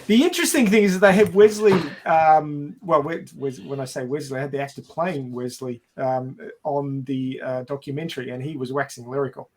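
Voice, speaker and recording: A man's voice: medium pitch, a little fast, few pauses. A man hosting a podcast, recapping and casually discussing a film. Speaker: podcast host.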